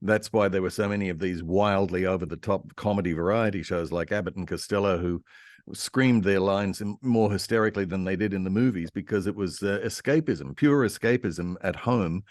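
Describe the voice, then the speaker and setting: A man's voice: low-pitched, a little fast, dry recording, studio quality. A man speaking as a guest historian in a conversational discussion, explaining things like a storyteller.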